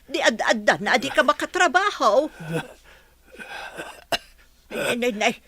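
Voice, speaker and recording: A worried, nagging Ilocano wife, bright voice. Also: female voice, sits high, expressive, slow, dry recording, heavily acted. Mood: anxious scolding. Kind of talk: Ilocano radio drama acting.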